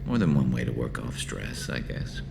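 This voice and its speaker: A man playing a loyal, battle-weary male alien soldier in a video game, with a dry, wry, measured delivery. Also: a bass voice, big pitch swings, creaky, very noisy, heavily acted. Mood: wry, relaxed.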